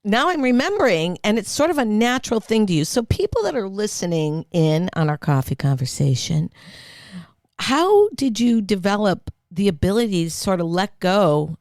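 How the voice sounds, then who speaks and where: A woman's voice: medium pitch, very animated, moderate pace, darkish tone, no echo, clean studio sound. A woman hosting a podcast, chatting casually and throwing in playful quips. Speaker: podcast host. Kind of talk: casual interview chat.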